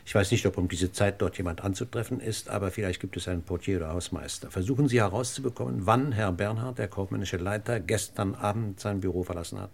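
An actor playing a middle-aged police inspector in a German radio crime drama, husky and gravelly, formally polite, deliberate and persistent in his questioning. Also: on the low side. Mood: businesslike. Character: probing, courteous male police inspector, dryly persistent.

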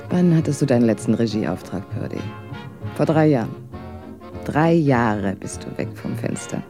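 A woman's voice: rumbling low, expressive, normal speed, music in the background. An actress in a radio drama playing a bitter, proud former career woman in a wheelchair, cutting and scornful.

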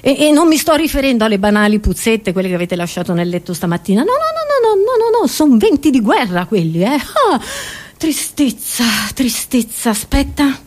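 A woman comedian with a fairly high voice delivers a theatrical solo monologue. She addresses the audience directly in a scatterbrained, exclamatory way, halting and repeating herself as she goes. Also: sing-song.

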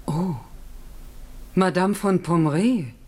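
A woman's voice: on the low side, takes it slowly, mellow. Wary, deferential widowed mother. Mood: uneasy. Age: middle-aged. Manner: deferential, hesitant, plaintive. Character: wary, needy widowed mother running a discreet brothel. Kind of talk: German radio play acting.

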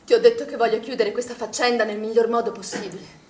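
A woman's voice: on the high side, steady tone, fast, darkish tone, echoey room, slight background noise, lightly acted. An actress playing a worried, probing swimming pool manager in a naturalistic stage drama, insistent and guarded in her questioning. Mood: controlled, probing.

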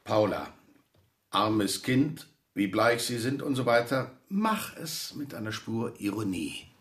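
A man's voice: on the low side, sing-song, slow. A man voicing a theater director who instructs his actors during a rehearsal. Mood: instructing.

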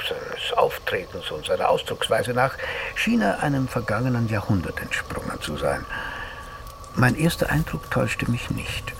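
A man's voice: medium pitch, creaky, fairly bright tone, full character voice, poor audio. An actor playing a self-assured, pompous male psychotherapist in a German radio play, speaking in elaborate, theatrical phrases. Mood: solemn narration. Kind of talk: German radio play, dialogue and first-person narration.

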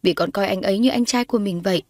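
A woman's voice: medium pitch, fairly quick, dry recording, clean studio sound, lightly acted. A woman voicing a meek fifteen-year-old girl, fearful and polite, pleading earnestly in a dramatized audio story.

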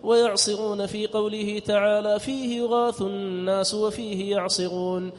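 A man's voice: high-pitched, flat delivery, normal speed, soft background music. A man reading a classical text aloud as a student, in a formal recitation that partly turns to chant. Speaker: student reader. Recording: echoey hall, background noise.

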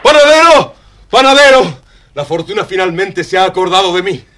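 Bright-voiced, excitable poor fisherman. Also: high voice, moderate pace. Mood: overjoyed. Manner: theatrical, exclamatory. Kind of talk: dramatized children's audio storybook acting. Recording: slightly noisy vintage storybook recording.

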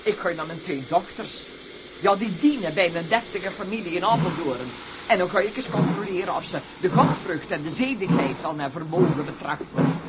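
Chatty, moralizing country mother played by a woman, very animated delivery, radio play, train rumble and hiss behind. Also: moderate pace, lightly acted. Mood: pious, scolding. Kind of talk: radio drama acting.

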